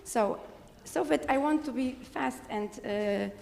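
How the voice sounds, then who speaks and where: Higher-voiced woman in a reverberant hall. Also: unhurried, creaky, a little mellow, a little hiss or hum. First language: Polish. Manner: direct, insistent, many hesitations and fillers. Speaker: audience member, philosopher. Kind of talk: conference floor remarks. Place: conference hall.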